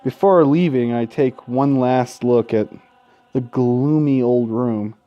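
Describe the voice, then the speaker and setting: A man doing gaming commentary, reading a visual novel aloud with casual, sweary asides and an expressive, dramatic delivery. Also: mid-range voice, takes it slowly, lo-fi sound. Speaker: let's play host. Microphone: close gaming microphone.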